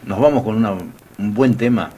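A man's voice: fairly low pitch, normal speed, warm tone, faint music behind. A man with an Argentine Spanish accent hosting a radio interview, friendly and teasing. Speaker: radio host.

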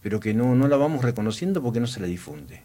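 A man's voice: medium pitch, very animated, fast talker, warm tone. A man from Santa Cruz, telling stories and talking passionately about local culture in a casual chat. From Santa Cruz, Argentina.

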